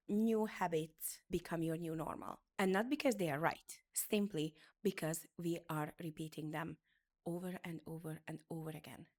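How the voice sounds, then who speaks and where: Middle-aged woman from Hungary, non-native English, motivational talk, emphatic, repeats phrases, lowish voice. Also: darkish tone. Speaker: beauty business educator.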